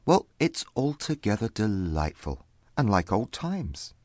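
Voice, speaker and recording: Woman putting on a very deep, courteous husband. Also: sing-song, a little mellow, no room sound, clean studio sound, full character voice. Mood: pleasantly surprised. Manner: courteous, measured, formal. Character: John, mild old-fashioned husband and firm young father.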